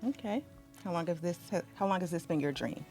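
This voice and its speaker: Low-voiced woman interviewing a student. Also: a little fast, darkish tone, soft background music. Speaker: assistant principal, interviewer. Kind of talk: school video interview.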